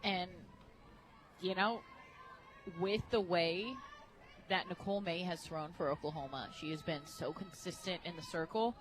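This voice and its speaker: An American woman giving conversational color commentary on a softball radio broadcast, as an analyst. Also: fairly low pitch, unhurried, poor audio. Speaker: color analyst, former player. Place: stadium radio booth, open to outdoor noise. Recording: clear outdoor ballpark noise.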